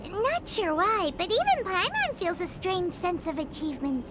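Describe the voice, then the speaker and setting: A woman's voice: wildly swooping pitch, moderate pace, very noisy, heavily acted. A woman voicing a tiny, cheeky female fairy companion in a video game, teasing and blunt. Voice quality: high, squeaky, childlike. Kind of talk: video game cutscene dialogue. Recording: game audio with loud music behind.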